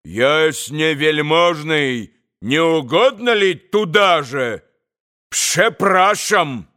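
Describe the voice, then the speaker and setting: A man acts out an excitable storybook gentleman, impetuous and defiant. His voice is fairly high, with emphatic, exclamatory phrasing. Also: slow pace. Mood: mocking.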